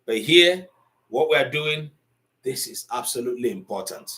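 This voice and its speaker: Man teaching Igbo, lively lecturing. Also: medium pitch, normal speed. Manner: conversational, mixing English and Igbo, lively lecturing. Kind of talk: live online language class.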